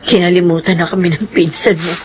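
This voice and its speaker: A woman's voice: lowish voice, fairly even intonation, a little fast, a little mellow, highs cut off, natural voice. A woman with a Filipino accent playing a sickly, frightened elderly mother in a radio drama. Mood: weary, sad. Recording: noisy crowded sound-effect bed behind voice.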